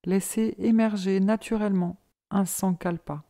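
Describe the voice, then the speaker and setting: A woman leading a guided yoga nidra meditation in a calm, measured, soothing manner. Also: mid-range voice. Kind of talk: guided meditation, slow scripted instruction.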